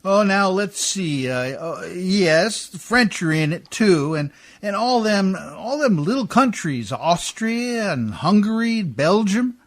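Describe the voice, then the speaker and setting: A man acts out an uncouth but good-natured riverboat pilot in an old-style radio play. His voice sits very high for a man, and he talks in a folksy, ungrammatical, chuckling way. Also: animated, takes it slowly, full character voice. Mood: casual, unconcerned.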